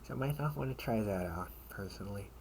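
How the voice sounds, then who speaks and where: A man playing a protective, sardonic older brother in an amateur audio roleplay, speaking in slangy, halting phrases with casual menace. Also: fairly low pitch, expressive, gravelly. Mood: smug, menacing. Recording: noisy amateur home recording.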